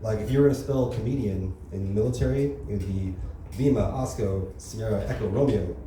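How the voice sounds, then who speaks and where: A man's voice: on the low side, fairly quick, mellow. A young man doing open mic stand-up, crude and casual, tagging lines with "you know" and "right". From Los Angeles area. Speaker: stand-up comedian. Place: comedy club stage, heard from far off. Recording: echoey room, distant mic, audible noise.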